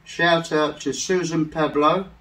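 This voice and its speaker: A middle-aged English man reading shout-outs slowly and carefully in a casual home vlog, seated on a living-room sofa. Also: fairly high pitch, steady tone, distant mic, poor audio. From England. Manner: slow, soft-spoken, careful over names. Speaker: vlog co-host. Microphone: far camera.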